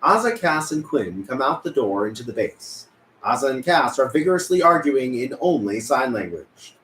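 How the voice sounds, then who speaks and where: Male table-read narrator; animated pitch, scripted delivery, echoey recording. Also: normal speed. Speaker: narrator reading stage directions. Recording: echoey room, faint noise.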